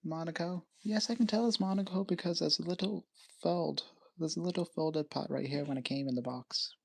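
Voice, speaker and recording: A woman from Canada on a casual live stream, naming items one at a time in short, unhurried phrases. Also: fairly low pitch, vocal fry, a little mellow, husky.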